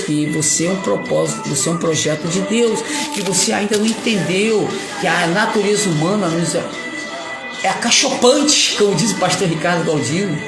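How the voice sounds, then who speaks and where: A man from Rio de Janeiro with a Carioca accent, preaching a rambling, emphatic sermon with playful asides. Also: high voice. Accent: Carioca Portuguese. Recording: echoey room with music behind.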